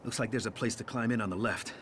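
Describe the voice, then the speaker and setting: An actor playing a wary, determined cop on the run, terse and dry, with an American accent. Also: male voice, lowish voice, fairly even intonation, quick pace, a bit bright, slight background noise, natural voice. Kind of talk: naturalistic video game acting.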